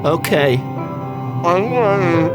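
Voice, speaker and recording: A man's voice: high-pitched, expressive, extremely slow, voice pushed up, full character voice. A man voicing a timid, doubtful asylum patient in a horror audio drama, hesitant and anxious. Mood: anxious, resigned. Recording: loud music under the voice.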